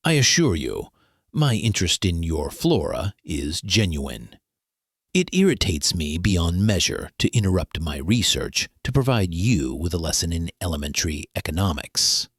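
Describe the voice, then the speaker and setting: A man acts out a pompous master detective in a mystery drama, his voice low and husky. He speaks slowly and formally, with deliberate diction and lively swings of pitch. He is coolly precise and commanding. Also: no echo, studio quality, heavily acted. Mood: pompous, lecturing. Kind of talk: scripted mystery audio drama.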